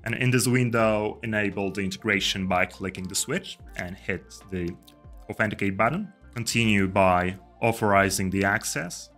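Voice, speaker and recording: A young man with a fairly low but bright, forceful voice walks through a software tutorial step by step. His delivery is casual and instructional, picked up by a close desk microphone, with music underneath. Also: normal speed. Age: young adult. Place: indoor room. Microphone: desk microphone close to the speaker.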